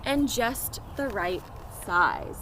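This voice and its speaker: Expressive woman reading to kids outdoors. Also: medium pitch, drawn-out words. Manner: expressive, talking directly to young children. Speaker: teacher reading aloud to children. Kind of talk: picture-book read-aloud with asides to listeners. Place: outdoors near pet chickens. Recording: noisy outdoor recording, urban ambience.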